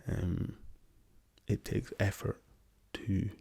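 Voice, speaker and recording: Soft-spoken hoarse man, low and gravelly. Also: level tone. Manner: soft-spoken, slow and hesitant with many ums, drifting into whispers. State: hoarse from a lingering cough. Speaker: ASMR channel host. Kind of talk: soft-spoken ASMR travel chat.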